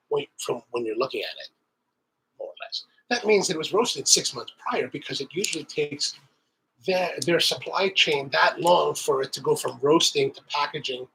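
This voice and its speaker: A man's voice: fairly high pitch, bright, forceful tone, a little hiss or hum, poor audio. A middle-aged man hosting a coffee channel, chatting casually while stuffy with the sniffles and sounding a bit nasal, rambling with many restarts. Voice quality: slightly nasal. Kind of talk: casual tasting talk to camera.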